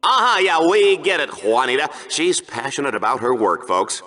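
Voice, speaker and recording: A man's voice: medium pitch, big pitch swings, normal speed, pushed and bright. An actor playing a brash, smarmy TV announcer of arena combat in a video game, with hammy, punchy showman delivery. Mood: dismissive. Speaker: sports show announcer. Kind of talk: over-the-top game show sports commentary.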